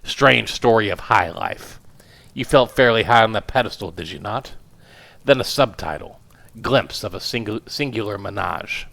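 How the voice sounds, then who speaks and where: A man voicing a gruff, domineering professor who rumbles and mocks his wife and a visitor. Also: expressive, normal speed, fairly bright tone. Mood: gruff mocking. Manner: rumbling, sardonic. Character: gruff bullying male professor, mocking and domineering.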